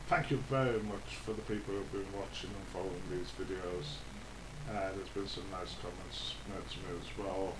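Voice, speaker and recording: A British man giving a casual DIY vlog explanation in an informal, hesitant, rambling way that tends to trail off. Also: lowish voice. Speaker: hobbyist video host. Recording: echoey, clearly noisy home-video sound.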